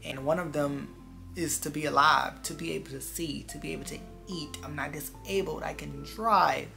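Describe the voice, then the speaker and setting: Vlogger, twenties, gravelly fry with a bright edge, earnest reflective chat to viewers over background music. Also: mid-range voice, steady tone, normal speed. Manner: earnest, confiding, repeats phrases for emphasis.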